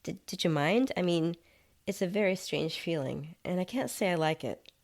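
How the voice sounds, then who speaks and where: Displaced spirit of a writer, female reader, uneasy and inquisitive, low-pitched with some gravel, for audio fiction. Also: animated, normal speed. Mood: curious, hesitant. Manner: questioning, hesitant, polite. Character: uneasy, curious disembodied writer floating outside own body.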